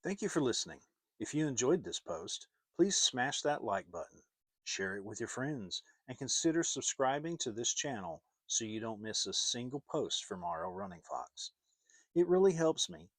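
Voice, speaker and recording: A man's voice: medium pitch, big pitch swings, moderate pace, dry recording. A man giving a dramatic narration of a children's story, as an audiobook reader.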